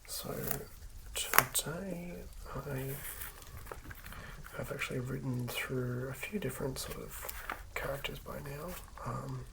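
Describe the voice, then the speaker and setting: Hushed asmr host whispering slow and halting, creaky voice, noisy lo-fi recording with little clicks. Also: mid-range voice. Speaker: host of tabletop roleplaying ASMR videos. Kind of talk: whispered ASMR, reading and commentary. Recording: lo-fi whisper with clear background noise.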